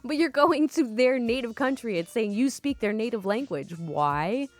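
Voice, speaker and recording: A young woman reading online stories aloud and commenting between them, chatty and quick to laugh, with casual swearing. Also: on the high side, lively intonation, soft background music. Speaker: storytime YouTuber.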